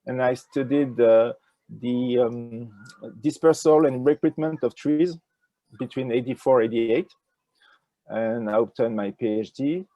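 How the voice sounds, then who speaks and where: An older man with a French accent, answering interview questions in a halting way with many fillers, speaking over a video call with a wired earphone mic. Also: mid-range voice, no room sound, lo-fi sound. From France. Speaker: ecology professor, interview guest. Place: indoors with a virtual nature backdrop.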